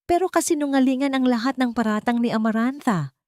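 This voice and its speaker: A woman's voice: high voice, fairly quick, darkish tone, dry recording, voice pushed up, natural voice. Lady narrator as proud rich dad in a drama story, anguished, intense, dry close sound. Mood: gritted indignation. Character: proud, anguished billionaire father.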